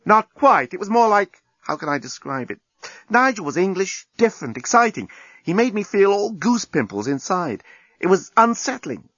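A man voicing Lucy, a thoughtful young woman who speaks softly and reflectively. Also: high-pitched, sing-song, moderate pace, breathy edge, no room sound, dull audio, voice pushed up, heavily acted. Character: young woman, wistful and resolved.

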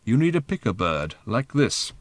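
A man's voice: medium pitch, very animated, moderate pace, no room sound. A man voicing a pompous, pious old village holy man, making grave, ceremonious pronouncements in an audiobook. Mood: instructive. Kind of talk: audiobook character voice.

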